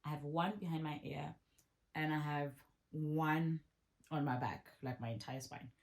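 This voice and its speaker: A woman, chatting casually as she answers viewer questions in a vlog. She talks in a relaxed way and repeats herself for emphasis. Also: low voice, measured pace. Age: 30s. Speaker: vlogger.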